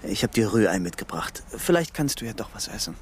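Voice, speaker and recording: A man's voice: on the low side, very animated, fairly quick, slight background noise. An actor playing a guarded, tense young man on the run in a German radio crime drama, understated in delivery. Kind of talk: German radio crime drama, acting and first-person narration.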